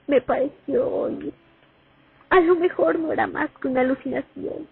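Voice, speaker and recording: A woman's voice: high voice, creaky, slightly rough, exaggerated voice. A woman playing a young Soviet sniper haunted by her war memories in a radio play. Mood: anguished, regretful. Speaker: actor in a student radio drama. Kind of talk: dramatic first-person monologue, radio play. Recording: muffled phone-like recording.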